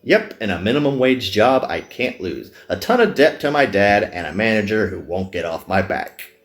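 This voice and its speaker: A man's voice: lowish voice, sing-song, moderate pace. A man reading a reluctant, self-deprecating young male magician recruit from a visual novel, dry and downbeat. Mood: gloomy, wry.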